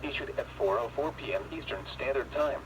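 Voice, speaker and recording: A man's voice: mid-range voice, a little fast. Man, weather radio announcer, formal scripted bulletin, radio speaker sound, off-mic and distant, noisy. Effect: weather radio broadcast.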